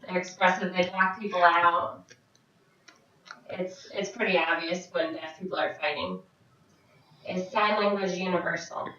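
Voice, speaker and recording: A woman voicing a signed question-and-answer vlog as an interpreter, speaking in short, halting phrases with frequent restarts. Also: lowish voice, steady tone, bright, forceful tone. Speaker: sign language interpreter voicing a Deaf vlogger. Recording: very echoey, distant, dull sound.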